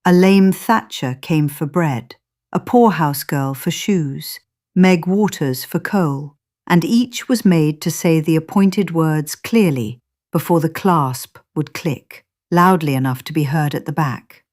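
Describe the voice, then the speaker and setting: A woman narrating a scripted story in a measured, literary storytelling manner. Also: low-pitched, a little mellow.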